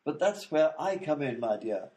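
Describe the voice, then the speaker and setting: A man's voice: on the high side, expressive, moderate pace, darkish tone, natural voice. A man playing an eccentric, long-winded male inventor in a comedy radio play, explaining things fussily with lots of "you see". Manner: explains at length with fussy fillers like "you see".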